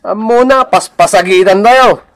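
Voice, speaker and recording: A man playing a jolly, teasing drinking buddy in an Ilocano radio comedy drama, with a chuckling, drawn-out delivery. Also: sits high, a bit bright.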